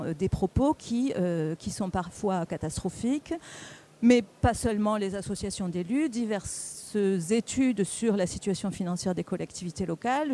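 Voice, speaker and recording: A speaker giving a public presentation at a round table, explaining didactically in a halting way, with repeats and self-corrections. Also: medium pitch, warm tone. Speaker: conference speaker presenting on local government finances.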